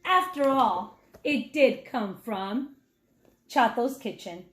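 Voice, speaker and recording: A woman's voice: fairly high pitch, takes it slowly. A woman reading a children's story aloud as a teacher, speaking expressively and putting questions straight to young listeners. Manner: expressive, addresses children directly. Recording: echoey room sound.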